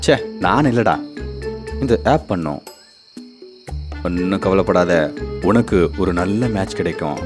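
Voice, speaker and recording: A man voicing a deep, excitable buddy. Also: unhurried, long pauses. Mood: reassuring. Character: young man's excitable buddy, slangy friend. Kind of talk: Tamil cartoon dub. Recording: music bed behind.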